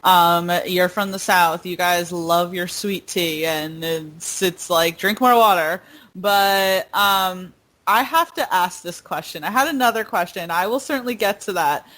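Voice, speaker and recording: A woman's voice: fairly low pitch, fairly bright tone, poor audio. A young woman hosting a casual interview over a video call, bubbly and giggly, firing off questions. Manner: bubbly, giggly, asks questions in quick bursts. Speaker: interviewer, host.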